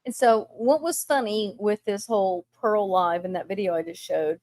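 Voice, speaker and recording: A woman's voice: mid-range voice, moderate pace, a little mellow, no room sound. Sarcastic Texan woman co-host. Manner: sarcastic, mocking asides. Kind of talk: casual drama commentary chat.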